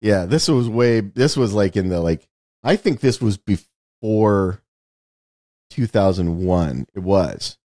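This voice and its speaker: Low-voiced American man, dry podcast host. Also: expressive, takes it slowly, dark tone, no echo. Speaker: screenwriter and author. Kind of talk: casual podcast chat.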